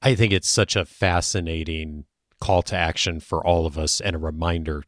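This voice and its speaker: A man hosting a podcast interview speaks in a very low register. He reflects thoughtfully on his guest's ideas and sums them up for the listener. His voice is recorded close and dry. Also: no room sound. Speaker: podcast host. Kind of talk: interview conversation.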